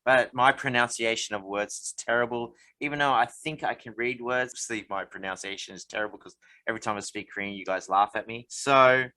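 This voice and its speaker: A man's voice: mid-range voice, a little fast, sharp tone, low-quality recording. A man co-hosting a casual podcast, chatty and loose, steering the talk with questions. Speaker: podcast co-host. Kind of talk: casual chat.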